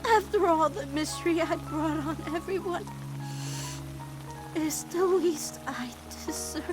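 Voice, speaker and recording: A woman's voice: very high-pitched, a little slow, music in the background. A woman voicing a guilt-ridden fourteen-year-old girl in an emotional cartoon fan dub, blaming herself with dramatic intensity. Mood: bitter self-blame. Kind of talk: animated-series fan dub drama acting.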